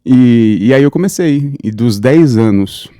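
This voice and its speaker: A man in his thirties from São Paulo state, a pastor telling his life story fluently in a relaxed interview. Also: medium pitch, unhurried, dry recording, clean studio sound. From São Paulo state, Brazil. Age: 30s. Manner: steady, fluent storytelling with frequent "né". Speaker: pastor, guest. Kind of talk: conversational interview, personal account.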